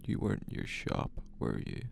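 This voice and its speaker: A man playing a calm, quietly menacing small-town policeman in an audio horror drama, terse and official. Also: moderate pace, soft background music, close to an everyday voice. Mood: terse, cool. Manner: terse, flat official questioning. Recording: faint noise under ambient sound effects.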